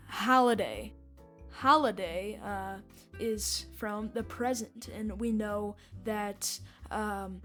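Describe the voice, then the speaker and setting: Higher-voiced woman rambling through fan theories. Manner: rambling, repeats points. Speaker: podcast host and commentator reacting to clips. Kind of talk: casual fan-theory commentary.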